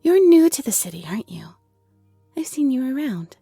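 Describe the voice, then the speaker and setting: Woman as a shy, breathy, flustered owl-folk shopkeeper. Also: sits high, very animated, normal speed, mellow, no echo, faint music behind, full character voice. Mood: curious, apologetic. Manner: hesitant, apologetic, nervously chatty. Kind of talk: scripted roleplay audio drama.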